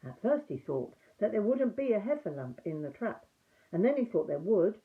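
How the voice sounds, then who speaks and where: An older woman reading a children's story aloud in an expressive, emphatic storytime manner. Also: mid-range voice, moderate pace, phone-quality sound. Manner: animated, emphatic reading for young listeners.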